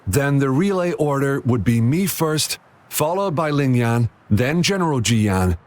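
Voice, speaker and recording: A man playing an eager, excitable young rookie racer in a video game, with a boyish, exclamatory manner. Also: mid-range voice, a little slow, lightly acted. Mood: cheerful.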